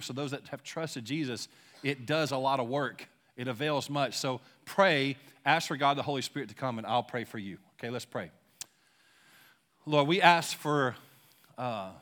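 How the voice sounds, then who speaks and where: A young man preaching a sermon in a church, casual and excited, using slangy talk and leading a prayer. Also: mid-range voice. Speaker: pastor. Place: church sanctuary.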